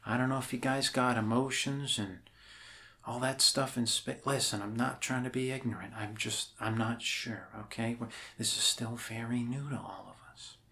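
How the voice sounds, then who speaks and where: A man playing an awkward, apologetic doctor in an ASMR roleplay, talking in a halting, rambling, reassuring way. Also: mid-range voice, steady tone, bright, forceful tone, slight background noise. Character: awkward, apologetic, bumbling male doctor welcoming an alien.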